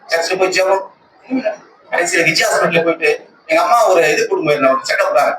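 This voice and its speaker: A man's voice: on the high side, quick pace. A man with a Tamil Nadu accent gives an earnest, emphatic religious testimony to a congregation, repeating phrases to stress them. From Tamil Nadu, India. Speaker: church member giving a testimony, lay speaker. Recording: echoey, distant conference call with loud noise.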